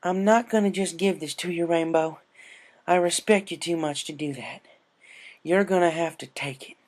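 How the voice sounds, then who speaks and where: A man's voice: a little high, normal speed, pitched above the performer's own voice, close to an everyday voice. A man voicing a stubborn, caring cartoon farm pony, a mare with folksy country phrasing. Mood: firm, concerned.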